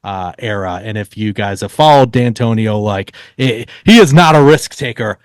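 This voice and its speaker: A man co-hosting a podcast, telling stories in a casual, halting way and repeating words as he searches for the next. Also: on the low side, animated, no room sound, studio quality. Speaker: podcast co-host. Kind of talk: casual sports storytelling.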